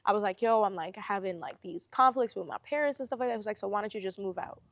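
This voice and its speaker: A young woman from Sudan, a podcast guest, telling her story in a casual chat, animated and laughing as she quotes people. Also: mid-range voice, fast, creaky, background noise.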